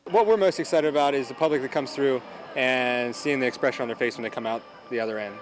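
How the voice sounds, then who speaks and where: A man gives an unscripted interview as an organizer. His voice is medium in pitch, and his delivery is hesitant, stopping and starting as he goes. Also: fast talker, a little hiss or hum, low-quality recording. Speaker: haunted attraction organizer.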